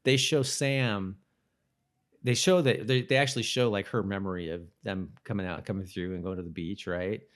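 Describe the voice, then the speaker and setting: Low-voiced man chatting on a podcast. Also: steady tone, moderate pace. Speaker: podcast co-host. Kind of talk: casual chat recapping a TV episode.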